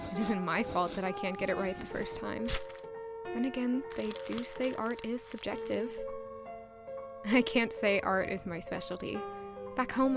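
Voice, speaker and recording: A woman's voice: medium pitch, creaky, natural voice. A woman playing a flustered, self-critical young woman in a romantic period fantasy audio drama, with formal, self-deprecating wording. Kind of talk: audio drama acting with first-person narration.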